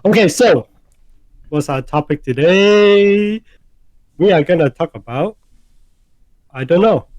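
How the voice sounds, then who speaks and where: A man co-hosting a casual podcast chat, talking in halting bursts, repeating words and filling gaps with um and uh. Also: high-pitched, animated, a little mellow, no echo, highs cut off. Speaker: podcast co-host.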